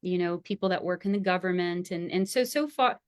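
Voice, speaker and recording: A woman's voice: on the low side, brisk, dry recording. Enthusiastic woman astrologer, dry close sound. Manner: enthusiastic, animated, frequent laughter. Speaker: astrologer and school founder. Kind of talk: conversational interview.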